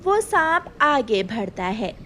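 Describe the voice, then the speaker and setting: High-voiced, animated woman storyteller. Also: takes it slowly, a little hiss or hum. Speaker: story narrator. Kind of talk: scripted children's cartoon narration.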